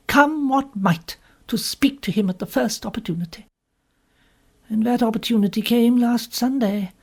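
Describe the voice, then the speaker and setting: A woman's voice: a little high, gravelly, husky. A woman reads a first-person short story as an audiobook narrator, in a prim, formal manner.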